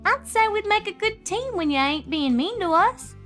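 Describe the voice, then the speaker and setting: A woman's voice: very high-pitched, expressive, normal speed, fairly bright tone, soft background music, pitched above the performer's own voice. A woman voicing a young country filly, eager and earnest, with folksy turns of phrase.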